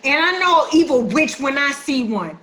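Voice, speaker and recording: A woman's voice: sits high, big pitch swings, normal speed, pushed and bright, exaggerated voice. A woman acting a hurt, defiant, sassy sister who makes emphatic accusations in a dramatic play reading. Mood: hurt, solemn. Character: wounded, defiant younger-minded sister confronting her sibling.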